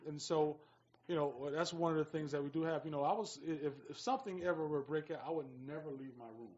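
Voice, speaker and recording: A man on a talk radio panel discussing things casually and haltingly, often repeating his phrases. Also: fairly high pitch, darkish tone, highs cut off. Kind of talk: casual current-affairs discussion.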